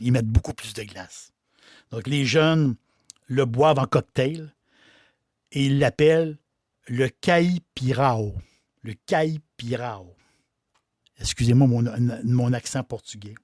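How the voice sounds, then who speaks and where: Québécois male innkeeper, mid-pitched, casual folksy delivery, dry recording. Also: darkish tone, no echo. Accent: Québécois French. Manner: folksy, repeats words and phrases. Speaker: innkeeper host. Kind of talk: casual talk about a liqueur.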